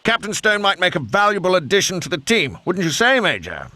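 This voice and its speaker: An actor playing a genial upper-class British wing commander in a video game, with a plummy British accent and jovial, courteous military slang. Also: male voice, high voice, wildly swooping pitch, brisk, sharp tone, dry recording, heavily acted. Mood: jovial, encouraging. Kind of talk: video game cutscene acting.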